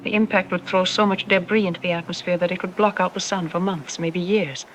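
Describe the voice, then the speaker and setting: A woman playing a calm, reassuring scientist who explains things in a measured way, as an expert guest in an audio drama. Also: on the low side, a little hiss or hum, lightly acted, low-quality recording.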